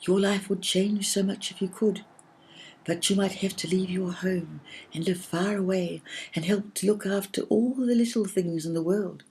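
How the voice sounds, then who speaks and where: A woman voicing a gentle, solemn little cloud in a children's story, speaking softly and carefully, at times in a whisper. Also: mid-range voice, fairly even intonation, slight background noise, pitched above the performer's own voice, close to an everyday voice, low-quality recording. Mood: gentle warning. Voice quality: soft, hushed, partly whispered. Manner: slow, careful, earnest.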